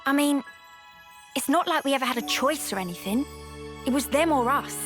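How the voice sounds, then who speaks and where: Chatty game soldier, highish voice, slangy and brash, bit of music behind. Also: female voice, lively intonation, moderate pace. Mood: casual, resigned. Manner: informal, slangy. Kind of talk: video game cutscene acting.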